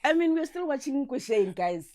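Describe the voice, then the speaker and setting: A woman's voice: high-pitched, sing-song, normal speed, darkish tone. A South African woman hosting a casual radio interview, slipping between languages as she asks questions. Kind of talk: casual interview chat, code-switching.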